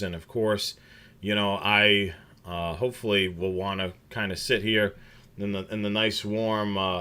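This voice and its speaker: A man's voice: lowish voice, bright, forceful tone. A man hosting a casual livestream chat, rambling with many fillers and restarts. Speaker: scanner show host.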